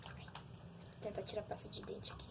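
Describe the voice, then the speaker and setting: A young girl with a Brazilian accent chatting casually and informally to her viewers as she demonstrates something by hand. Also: normal speed. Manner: informal, giggly, addresses viewers often. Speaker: vlogger showing slime. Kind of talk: casual chat to camera with hands-on demonstration. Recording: noisy home recording with clicks.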